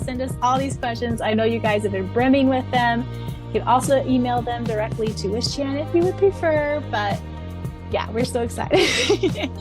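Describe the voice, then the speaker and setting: Higher-pitched gushing woman podcaster. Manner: gushing, giggly fangirl enthusiasm. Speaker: podcast co-host. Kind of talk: casual fan podcast chat.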